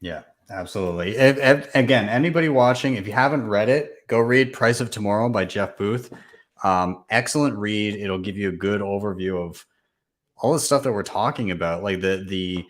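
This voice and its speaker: Man, fairly low voice, relaxed panel host, casual chat, lots of restarts. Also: moderate pace. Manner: relaxed, many fillers and restarts. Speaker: podcast host.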